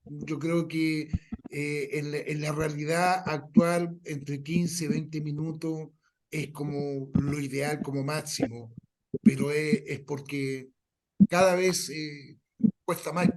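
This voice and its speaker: Man, talks slowish and halting, explaining stuff at a workshop, bit of background noise. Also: a little high, level tone, poor audio. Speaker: radio drama producer leading a workshop. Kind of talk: informal explanatory talk.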